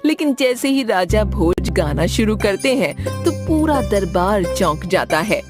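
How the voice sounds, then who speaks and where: A woman's voice: medium pitch, very animated, unhurried. A woman narrator reading a scripted moral story for a cartoon. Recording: music behind the voice.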